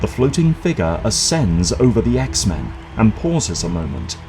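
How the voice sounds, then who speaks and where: A man reading scripted dramatic narration for an audio drama in a measured, suspenseful manner. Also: lowish voice, wildly swooping pitch, background noise.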